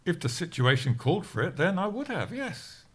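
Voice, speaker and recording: Older, self-important colleague, lively pitch. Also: male voice, normal speed, slightly rough, heavily acted. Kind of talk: audio sitcom acting.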